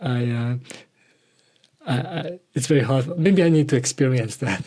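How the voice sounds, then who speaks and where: A man hosting a reflective interview, speaking hesitantly and haltingly as he searches for words. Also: mid-range voice, a little mellow, slightly rough. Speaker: podcast host interviewing a guest.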